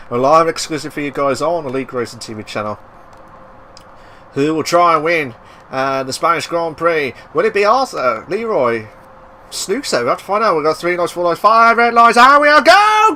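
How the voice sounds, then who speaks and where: A man giving live racing commentary, excitable and bursting into loud exclamations at the action. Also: fairly high pitch, a little hiss or hum. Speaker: race commentator.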